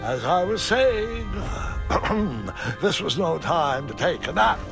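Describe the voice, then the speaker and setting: A man playing a whimsical old grandfather who tells his adventures theatrically in a storybook-style game, with a gravelly voice. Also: sits high, very animated, slow pace, over music, full character voice. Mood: theatrical, mysterious.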